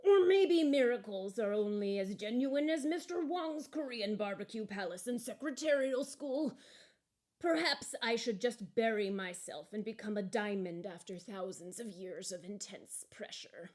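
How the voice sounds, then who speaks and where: A woman's voice: mid-range voice, expressive, a little slow, a little mellow, natural voice. A woman voicing an earnest, polite talking lump of coal with hopes and sorrows, for a children's storybook. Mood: despondent.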